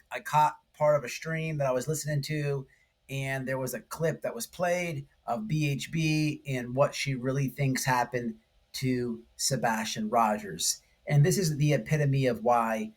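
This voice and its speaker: A man hosting informal true crime commentary, speaking emphatically in slangy language and often checking in with the listener. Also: medium pitch. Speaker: YouTube commentary host.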